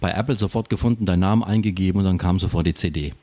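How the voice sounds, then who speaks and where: A man's voice: low voice, very fast, warm tone. A man hosting a radio interview, friendly and affirming. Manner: friendly, affirming with short responses. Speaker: radio host. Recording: radio broadcast.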